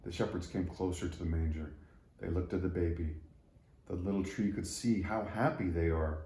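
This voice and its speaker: Male children's story reader. Low, dark, relaxed tone, echoey room. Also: normal speed, slight background noise. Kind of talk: read-aloud storytelling for children. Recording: roomy echo.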